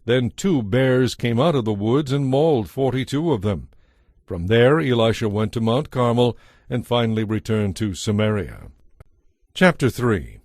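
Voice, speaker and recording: A man narrating a dramatized audio Bible in a measured storytelling manner. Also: medium pitch, expressive, a little mellow, dry recording, studio quality.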